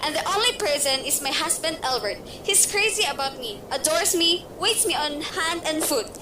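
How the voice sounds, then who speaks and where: A woman playing a shrill, nervous invalid wife. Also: animated. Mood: fretful. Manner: fretful, complaining, quickly panicky. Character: nervous bedridden rich wife, shrill and demanding. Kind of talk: amateur radio play acting. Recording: noisy amateur recording.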